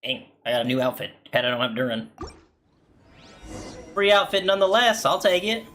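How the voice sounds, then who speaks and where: A man's voice: fairly high pitch, big pitch swings, a little fast, bright, forceful tone, a little hiss or hum. Casual man commenting on a game. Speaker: gaming streamer.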